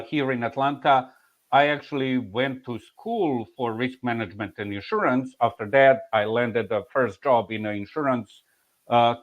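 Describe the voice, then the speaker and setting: A man in his forties with a Serbian accent tells his story in a loose, halting way, restarting often and laughing softly. His pitch sits in the middle range. The audio is a bit muffled and lo-fi. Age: 40s. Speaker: insurance claims manager. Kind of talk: casual interview answers.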